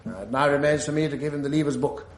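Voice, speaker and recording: A man's voice: on the high side, fast, roomy sound, natural voice. A man playing a pompous schoolmaster who gives a formal, oratorical prize-day speech in a radio drama. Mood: ceremonious. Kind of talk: 1980s radio drama acting.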